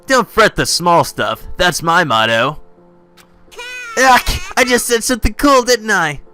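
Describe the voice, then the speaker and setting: Man voicing a gleeful, sadistic cartoon bear, wildly animated. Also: high-pitched, unhurried, faint music behind, pitched above the performer's own voice, exaggerated voice. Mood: boastful, indignant. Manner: theatrical, taunting, preening. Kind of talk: video game character voice-over.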